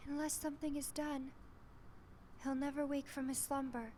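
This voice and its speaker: Lady voicing a gentle young girl in a video game, high soft voice, worried and hesitant, slight hiss. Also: flat delivery, moderate pace, lightly acted. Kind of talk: scripted video game cutscene acting.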